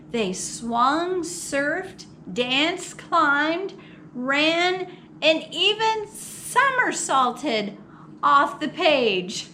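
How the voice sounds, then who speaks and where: A woman reading a children's picture book aloud as a storytime host, expressive and theatrical with drawn-out words. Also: high-pitched, a little hiss or hum. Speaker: storytime host at a public library.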